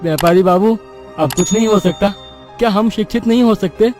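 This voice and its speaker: A man's voice: sits high, a little fast, close to an everyday voice. A man playing a humble, discontented villager who longs for a better life, in a cartoon story. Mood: plaintive. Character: humble, discontented male villager longing for success. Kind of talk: Hindi cartoon moral story dialogue. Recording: long reverb, loud music underneath.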